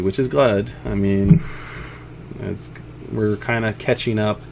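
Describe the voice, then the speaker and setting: Man, low gravelly voice, halting informal presenter, game dev update style, faint background noise. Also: darkish tone. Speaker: indie game developer presenting a progress update. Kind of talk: informal development update, unscripted narration over visuals.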